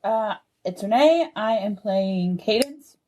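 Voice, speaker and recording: A woman's voice: medium pitch, expressive, takes it slowly, warm tone, slight background noise. A woman casually introducing herself as a player in a tabletop game. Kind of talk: casual chat.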